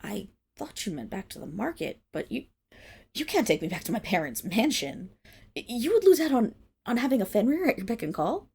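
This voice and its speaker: A young woman playing a proud, flustered noble Fenrir monstergirl in an ASMR roleplay, speaking formally with stammers and breathy, whispered dips. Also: a little high, very animated, fairly quick, darkish tone, heavily acted. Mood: flustered, indignant. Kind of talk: ASMR roleplay acting, addressed to the listener.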